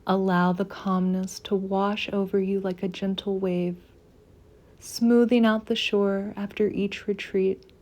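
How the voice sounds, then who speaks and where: A woman leading a guided meditation in a soft, soothing, unhurried manner with gentle pauses. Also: mid-range voice, flat delivery.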